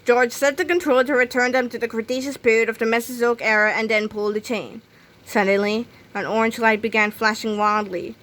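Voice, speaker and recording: A woman's voice: fairly high pitch, steady tone, a little fast, a bit bright, low-quality recording. A woman reading a children's book aloud as scripted narration. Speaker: storybook reader.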